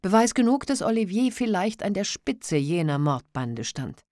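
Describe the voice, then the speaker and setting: A woman narrating a classic story for an audiobook in a measured, expressive reading. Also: mid-range voice, no room sound, clean studio sound. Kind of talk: scripted literary narration.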